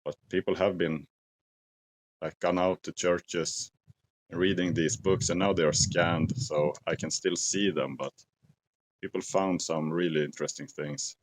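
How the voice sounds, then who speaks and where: A man in his forties with a Swedish accent, talking slowly and thoughtfully to camera outdoors in a forest at dusk, pausing to search for English words. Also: deep voice, steady tone, low-quality recording. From Sweden. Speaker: outdoor vlogger. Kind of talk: casual talk to camera.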